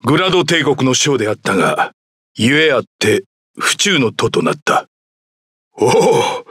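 A man's voice: medium pitch, fairly bright tone, no room sound, clean studio sound. A man voicing a proud old male general and mentor in a video game, bold and commanding. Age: older. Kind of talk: game voice clips.

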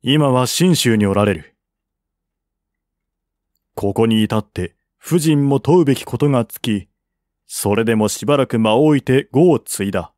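A man reads a historical novel aloud as scripted narration, in a measured, formal, literary manner. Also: mid-range voice, warm tone, dry recording, studio quality.

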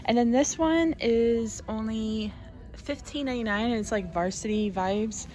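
A woman's voice: on the high side, measured pace, a little mellow. A woman with an American accent giving casual, informal shop-with-me narration in a chatty way. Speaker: shopping vlogger.

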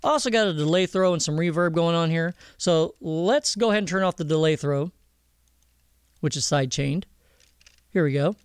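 A man explaining audio software step by step in an informal tutorial, often checking that the listener follows. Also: on the high side, normal speed, clear, resonant tone, dry recording, studio quality. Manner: explanatory, checks understanding with "okay?", "all right?". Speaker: audio mixing instructor.

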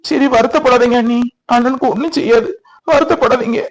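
A man's voice: very high-pitched, steady tone, brisk, highs cut off. A man playing a caring younger brother in a family melodrama, earnest and reassuring, with a southern Tamil Nadu accent.

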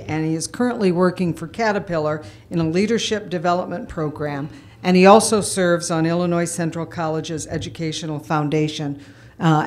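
A middle-aged woman hosts from a podium in a meeting room. Her voice is a bit low for a woman. She gives a formal introduction into the microphone. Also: a little mellow. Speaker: event host introducing speakers. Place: indoor college meeting room.

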